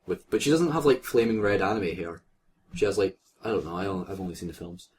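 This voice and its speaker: Man, lowish voice, mock-dramatic comic story reader given to asides, slight background noise. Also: quick pace, a little mellow. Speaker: co-host reading fan fiction aloud. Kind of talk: comic read-aloud with joking asides.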